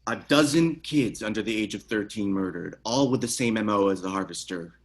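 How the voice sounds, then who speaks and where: A man playing a grave, haunted police detective in a screenplay table read, serious and measured. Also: lowish voice, lightly acted. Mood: intent, urgent.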